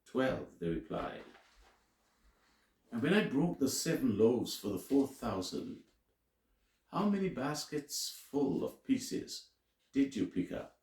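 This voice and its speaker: A man reading scripture aloud as a teacher, in a solemn, deliberate and emphatic way with dramatic pauses. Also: mid-range voice, very animated, a little mellow. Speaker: Bible reader and teacher. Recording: roomy, echoing sound.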